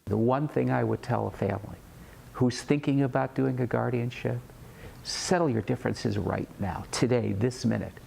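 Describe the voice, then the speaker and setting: Man, heavy vocal fry, dark tone, interview, faint rumble. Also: normal speed, poor audio. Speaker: interviewee, reform advocate. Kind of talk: news interview.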